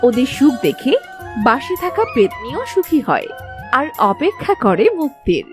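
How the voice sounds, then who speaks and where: A woman's voice: sits high, sing-song, normal speed, over music. A woman narrating a scripted cartoon fairy tale as a storyteller.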